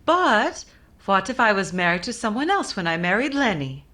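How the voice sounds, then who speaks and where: A woman playing a devoted, glamorous German wife in a radio comedy, melodramatic yet deadpan. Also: medium pitch, moderate pace. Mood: coy. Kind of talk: old-time radio comedy acting.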